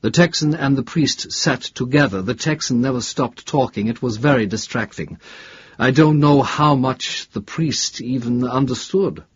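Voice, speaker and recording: A man voicing an older, guarded male chemistry professor in an audiobook, with a halting, deliberate, emphatic delivery. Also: mid-range voice, breathy edge, dull audio, lightly acted. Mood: apologetic. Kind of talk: audiobook character dialogue.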